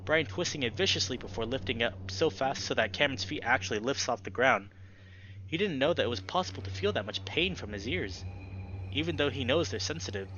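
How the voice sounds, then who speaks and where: A young man reads story narration dramatically as a let's play host. His voice is bright and forceful at an ordinary male pitch. The recording is slightly muffled, with faint noise behind him. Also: lively intonation, brisk. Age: young adult. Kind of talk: reading a visual novel aloud with dramatic narration. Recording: dull lo-fi audio with background noise.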